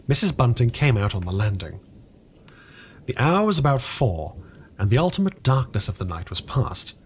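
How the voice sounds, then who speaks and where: A man reading fiction aloud as a dramatic audiobook narrator, deliberate and expressive, with the occasional stumble of a single take. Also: mid-range voice, a little hiss or hum.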